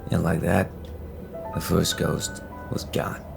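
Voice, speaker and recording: A man playing a casual, unhurried narrator, telling a ghost story conversationally in an audio drama. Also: low-pitched, flat delivery, gravelly, music in the background, full character voice. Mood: wry, measured.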